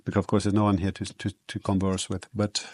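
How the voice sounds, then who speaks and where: A man from South Africa whose first language is Afrikaans, telling childhood stories in a relaxed, reflective way as a podcast guest, with frequent ums and restarts. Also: low-pitched, quick pace, no echo. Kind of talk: casual interview storytelling.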